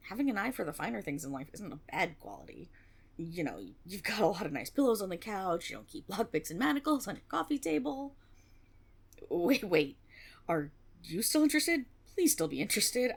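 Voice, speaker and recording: A breathy, slightly husky young tiefling rogue acted by a woman in a fantasy ASMR roleplay. Her delivery swings widely in pitch as she rambles nervously, with stammers and a teasing streak, often dropping into a creaky edge. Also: full character voice. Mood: nervous, awkward, then anxious, pleading. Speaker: audio roleplay performer. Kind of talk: intimate one-sided fantasy audio roleplay, ASMR.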